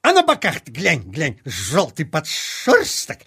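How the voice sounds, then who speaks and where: Children's audio play: cocky, evasive polecat, high voice, very lively pitch, blustering and teasing. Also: male voice, measured pace, slightly rough, full character voice. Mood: boastful. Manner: blustering, teasing, repeats himself. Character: boastful, sly male forest polecat, vain and evasive.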